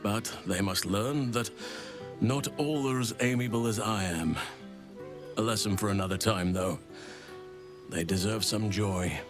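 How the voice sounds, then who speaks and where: A man playing a low-voiced, gentle old druid. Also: over music, natural voice. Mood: reflective, content. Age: older. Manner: measured, reflective, formal wording. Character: gentle, kindly old druid man, wise and fond of children. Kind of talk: video game character dialogue.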